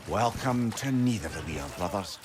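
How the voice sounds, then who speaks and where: Man as a lively, wry Scottish sage. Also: lowish voice, normal speed, noisy background, close to an everyday voice. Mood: wry, chatty. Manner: wry, eloquent, wordy. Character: witty, chatty, learned older male advisor with wry humor. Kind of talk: video game voice acting.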